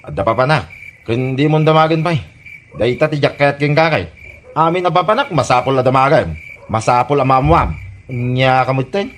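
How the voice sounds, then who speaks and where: An actor with an Ilocano Filipino accent playing an evasive, irritable, smooth-talking young man in a comedy radio drama. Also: medium pitch, normal speed, a little hiss or hum, close to an everyday voice. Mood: irritated, defensive.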